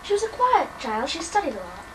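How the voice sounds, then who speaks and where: A teenage boy voicing an old nurse and a Tudor queen in a high falsetto, with a prim, matter-of-fact manner. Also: wildly swooping pitch, brisk, heavily acted. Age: teens. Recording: distant mic, noisy room.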